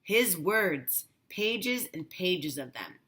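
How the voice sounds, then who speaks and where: A woman teacher reading a children's story aloud with measured, deliberate phrasing. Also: lowish voice, very animated, pushed and bright.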